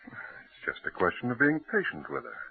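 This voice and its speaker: A man playing a gentle, indulgent husband and doting father in an old-time radio drama. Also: medium pitch, huge pitch swings, moderate pace, breathy edge, heavily acted. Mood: patient, reassuring. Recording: tinny old radio recording.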